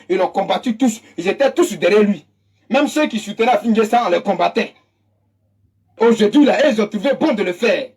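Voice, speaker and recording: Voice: medium pitch, darkish tone, breathy edge. A speaker with an Ivorian French accent giving an impassioned political monologue, emphatic and mocking, repeating phrases for effect. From Côte d'Ivoire. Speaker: political commentator.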